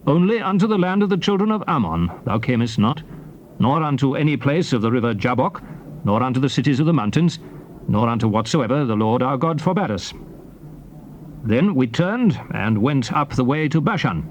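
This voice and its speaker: An actor playing a solemn old prophet recounting conquests to his people, in declamatory, measured theatrical scripture style. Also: mid-range voice, very animated, close to an everyday voice. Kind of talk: dramatized scripture reading, theatrical oratory. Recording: faint noise from old cassette tape.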